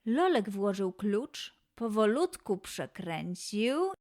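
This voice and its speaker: A woman narrating a scripted children's story in an expressive way, with dramatic pauses and emphasis. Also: medium pitch.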